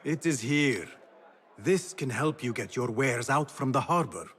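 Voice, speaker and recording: An actor playing a determined, earnest young assassin in a video game, speaking formally and intently. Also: male voice, medium pitch, lively intonation, measured pace, fairly bright tone, husky. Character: determined, earnest young male assassin, former street thief. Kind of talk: video game cutscene acting.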